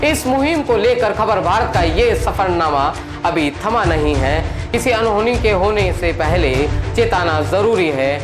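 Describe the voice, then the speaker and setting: Male reporter, emphatic scripted narration, very lively intonation, echoey sound, background music. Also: sits high. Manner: rhetorical, emphatic delivery. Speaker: news presenter and reporter. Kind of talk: dramatic scripted news narration.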